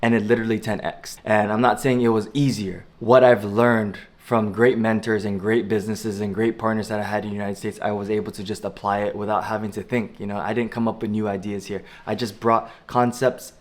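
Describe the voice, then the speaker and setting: A man's voice: lowish voice, level tone, brisk, few pauses. Laid-back rambling young man. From Hawaii. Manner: rambling, earnest, frequent "you know" and "like". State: jet lagged. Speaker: vlogger. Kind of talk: unscripted casual vlog talk.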